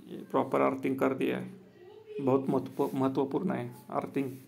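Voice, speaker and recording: Installer showing off his work: a man, even-toned, rough and gravelly, outdoors with a phone mic. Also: mid-range voice, moderate pace, slight background noise. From Maharashtra, India. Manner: plain, repetitive, addresses viewers as friends. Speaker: solar installer and business owner. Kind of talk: walkthrough video commentary. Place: outdoor rooftop.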